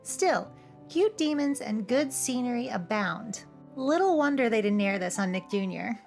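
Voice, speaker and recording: A woman's voice: fairly high pitch, expressive, measured pace, a little mellow. A woman giving scripted, humorous commentary as a video essay host, with playful, cheeky asides. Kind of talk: scripted, humorous commentary over cartoon clips. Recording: music behind the voice. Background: background music.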